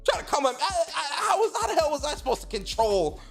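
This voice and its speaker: A man in his thirties hosting a casual gaming livestream, reading out viewer comments and giving loose, profane shout-outs to the chat. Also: extremely high pitch, sing-song, fast talker, creaky, soft background music. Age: 30s. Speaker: streamer and podcast host.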